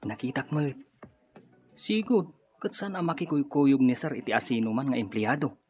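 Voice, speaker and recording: Nosy, disapproving male clerk for radio drama man performing, raspy-edged voice, dull sound, soft music behind. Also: mid-range voice, moderate pace, natural voice. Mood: gossipy. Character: gossipy, judgmental male office employee. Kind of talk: Ilocano radio drama acting. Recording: dull lo-fi audio, faint music.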